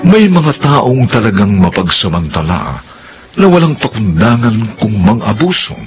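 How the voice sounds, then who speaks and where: A man's voice: fairly low pitch, sing-song, a little slow. A man with a Filipino accent narrating a radio drama in a grand, theatrical scripted style. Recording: music behind the voice.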